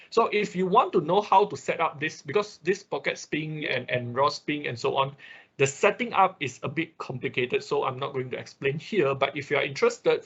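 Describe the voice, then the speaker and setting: A man who is a non-native English speaker with an accent, teaching an informal online technical class in a husky voice, explaining step by step with frequent check words. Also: medium pitch, a bit bright. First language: not English. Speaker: instructor. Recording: dull, lo-fi online class audio.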